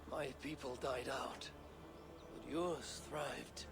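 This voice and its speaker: An actor playing a patient, grave, ancient father in a video game cutscene, explaining thoughtfully in a gravelly voice. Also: medium pitch, fairly even intonation, slow, background noise. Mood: solemn.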